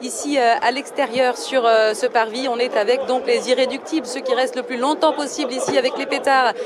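A woman's voice: high-pitched, fairly even intonation, a little fast. A woman reporting live for radio from outside a stadium, interviewing a fan. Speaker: field reporter. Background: crowd.

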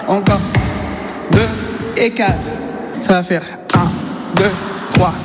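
A man's voice: sits high, steady tone, slow pace, big-room sound, heavy background noise. A man from Venezuela, a dance teacher who laughs easily and calls out counts during a lesson in a dance studio. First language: Spanish.

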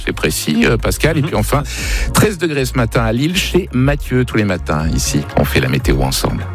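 A man's voice: mid-range voice, wildly swooping pitch, a little fast. A man presenting the weather and chatting with listeners on a live morning radio show. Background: music bed.

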